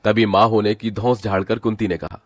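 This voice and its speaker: A man's voice: lowish voice, level tone, brisk, dry recording. A man narrating a scripted dramatic story as its storyteller.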